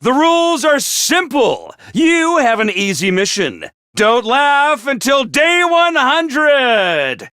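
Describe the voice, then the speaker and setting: A man's voice: very high-pitched, big pitch swings, slow pace, bright, forceful tone, clean tone, no room sound, studio quality, heavily acted. A man voicing a manic, mischievous male mascot commander in a game, talking in a hyper, theatrical and gleeful way. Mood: gleeful announcing. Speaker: game character voice lines. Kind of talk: game voice acting.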